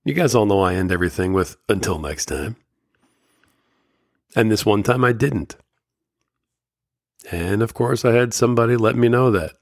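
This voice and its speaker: A man's voice: fairly low pitch, normal speed, creaky, long pauses, dry recording, clean studio sound. A man hosting a solo podcast, talking candidly and motivationally, his voice slightly scratchy from a summer cold. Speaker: podcast host.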